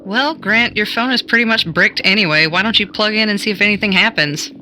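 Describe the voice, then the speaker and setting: A man's voice: sits high, fairly quick, pushed and bright, slight background noise. An actor playing a pushy, curious man in an audio drama, egging friends on. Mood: eager, pushy.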